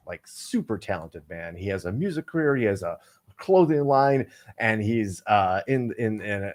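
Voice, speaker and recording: A man with a lowish voice co-hosts a casual podcast. He rambles with plenty of fillers and restarts. He breaks into sudden excited bursts. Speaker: co-host.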